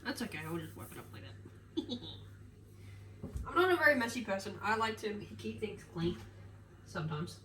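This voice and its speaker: A woman's voice: mid-range voice, very animated, normal speed, long pauses, bright, forceful tone, far from the mic. A woman with a nasal voice chatting casually through a get-ready-with-me vlog, rambling with lots of fillers and self-corrections as she moves around her home. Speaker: vlogger. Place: home kitchen and rooms, indoors. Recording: echoey room, voice off-mic, household noise.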